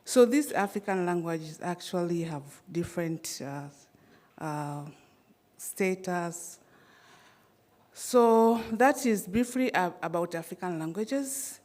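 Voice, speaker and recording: A woman from Tanzania, whose first language is Swahili, giving a conference presentation in a measured, hesitant way with frequent fillers and restarts. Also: fairly low pitch, a little mellow. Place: conference hall.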